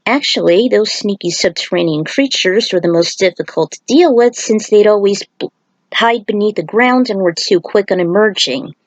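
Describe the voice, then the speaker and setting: A woman's voice: medium pitch, normal speed, no room sound, poor audio. Woman narrating a story, dry close sound. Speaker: fan fiction reader.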